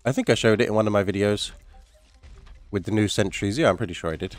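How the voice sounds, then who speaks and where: A man's voice: on the low side, fast talker, dry recording. A man with a stuffy, nasal voice from a cold, chatting casually while commentating a survival game. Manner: chatty, rambling, mild swearing. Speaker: gaming YouTuber.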